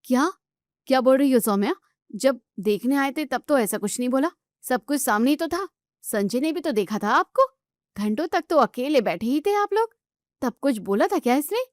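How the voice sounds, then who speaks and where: Woman as a high-voiced, doting young sister-in-law. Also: moderate pace, dry recording, studio quality. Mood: shocked, protective. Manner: animated, fussing, affectionate.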